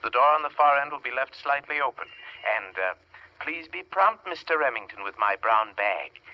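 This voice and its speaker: An actor playing a courteous, insinuating little crook with veiled menace in a 1940s radio drama. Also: male voice, medium pitch, lively intonation, moderate pace, close to an everyday voice. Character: little bald crook, politely menacing, precise, unhurried. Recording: dull vintage radio recording.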